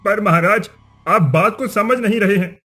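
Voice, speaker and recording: A man's voice: high-pitched, normal speed, bright, forceful tone, breathy edge, soft background music, full character voice. A man playing an accusing, superstitious village chief in a cartoon story, speaking insistently. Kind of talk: Hindi cartoon story acting.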